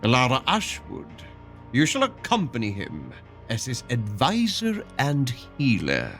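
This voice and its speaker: A man's voice: medium pitch, wildly swooping pitch, measured pace, fairly bright tone, soft background music, heavily acted. An actor playing a pompous king in a fantasy audio drama, proclaiming in a formal, grandiose manner. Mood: commanding. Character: regal, pompous king issuing a formal decree.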